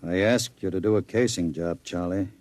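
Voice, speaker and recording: An actor playing a bossy, smooth-talking gangster with a sardonic, commanding manner in an old-time radio drama. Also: male voice, sits low, moderate pace, darkish tone, dry recording, close to an everyday voice.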